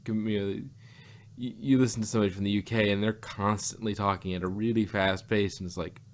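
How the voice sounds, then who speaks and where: A man's voice: fairly low pitch, fairly even intonation, brisk. A man co-hosting a casual review podcast, rambling and often rephrasing as he goes. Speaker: podcast co-host reviewing audio drama. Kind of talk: casual chat.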